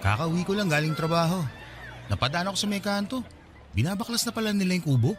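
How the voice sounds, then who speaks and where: An easygoing young man chats in a radio drama. His voice runs a little high and moves quickly, with very lively intonation. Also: over music. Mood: casual. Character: easygoing man of twenty-seven, settled, loyal hometown friend. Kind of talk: Tagalog radio drama dialogue.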